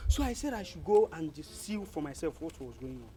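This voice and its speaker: Man acting high-voiced, earnest Nigerian village messenger. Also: fairly quick, mellow, lightly acted. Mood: anxious, respectful. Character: earnest male village messenger bringing news to elders. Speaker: actor in a village drama.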